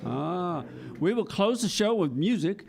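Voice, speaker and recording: A man's voice: high voice, expressive, unhurried, gravelly, darkish tone. A man hosting a children's audio theater show, announcing to a live family audience.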